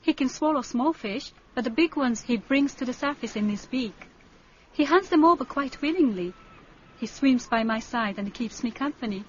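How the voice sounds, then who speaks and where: A young Japanese island diver in a radio adventure, high-pitched and earnest. She phrases things simply and carefully, with a practical air. The recording is dull, with clear background noise. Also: lively intonation, moderate pace. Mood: fond, matter-of-fact. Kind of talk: radio drama acting.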